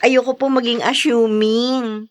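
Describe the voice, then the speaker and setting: A woman's voice: on the high side, flat delivery, unhurried, no echo, lightly acted. An actress with a Filipino accent, playing a spirited young single woman in a radio drama. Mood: soft. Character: young single woman, feisty but shy. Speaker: daughter.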